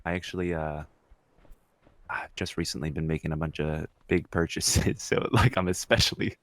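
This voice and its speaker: Low-voiced young man in casual, dry-sounding chat. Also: fairly even intonation, normal speed, a bit bright, no echo. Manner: slangy, mildly profane. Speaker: podcast co-host.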